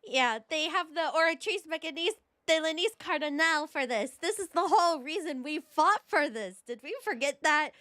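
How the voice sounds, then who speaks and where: Young woman game streamer, casual and opinionated, notably high voice, very dry close sound. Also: expressive, normal speed, hardly any pauses, bright, forceful tone, no echo. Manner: informal, opinionated. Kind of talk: casual playthrough commentary, reacting and reading aloud.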